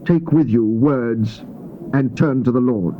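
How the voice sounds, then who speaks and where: A man gives a grave, theatrical scripture reading, declaiming with deliberate phrasing and emphatic pauses. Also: mid-range voice, faint music behind. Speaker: scripture narrator. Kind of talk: dramatized Bible reading, solemn and theatrical. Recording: dull, noisy old cassette tape transfer.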